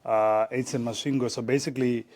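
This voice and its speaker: A man's voice: medium pitch, steady tone, brisk, a little mellow. A man in his forties from Zimbabwe, a former rugby player, talking casually as an interview guest with many fillers and restarts.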